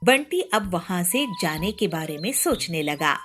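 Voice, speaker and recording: Lively, expressive woman storyteller. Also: on the low side, a little slow, faint music behind. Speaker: narrator. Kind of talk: scripted storybook narration.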